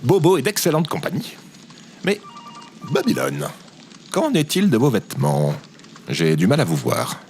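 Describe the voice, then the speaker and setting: A man's voice: medium pitch, sing-song, unhurried, creaky, long pauses, darkish tone, a little hiss or hum. A man playing a cheerful, earnest space station operator in an audio comedy, polite and naively enthusiastic. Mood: friendly, earnest. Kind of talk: scripted audio comedy fiction acting.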